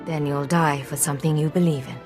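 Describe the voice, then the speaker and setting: A cool, self-assured female Imperial agent from a game cinematic, acted by a woman. She talks tersely in a very low register, with faint music behind her. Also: fairly even intonation, fairly quick, lightly acted. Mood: cool, confident. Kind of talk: dramatic video game acting.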